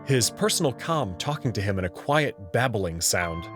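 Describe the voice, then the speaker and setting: A man reading a visual novel aloud in the first person, in an expressive, nervous way. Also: lowish voice, moderate pace. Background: background music.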